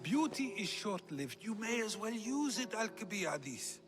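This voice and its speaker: A man acting a witty, philosophical ancient Greek sage in a video game, speaking in a measured, eloquent way. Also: high-pitched, very animated, music in the background. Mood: wry.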